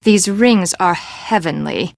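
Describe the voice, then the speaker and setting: An actress playing an imperious, eccentric fashion designer in a video game, with a haughty, dramatic, emphatic delivery. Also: female voice, on the low side, takes it slowly, gravelly, no echo, clean studio sound. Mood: delighted, affected.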